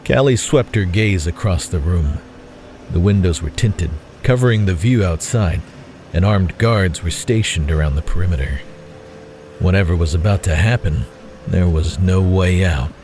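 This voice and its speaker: A man's voice: deep voice, moderate pace, darkish tone, a little hiss or hum. A man reading scripted dramatic narration as the narrator of an audio story.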